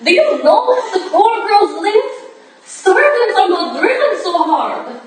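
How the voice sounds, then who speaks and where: A woman's voice: very high voice, big pitch swings, slow pace, exaggerated voice. A woman playing a proud, desperate young foreign ballerina in a staged reading, urgent and defensive. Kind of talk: staged reading of a period drama. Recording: distant, very echoey room, faint noise.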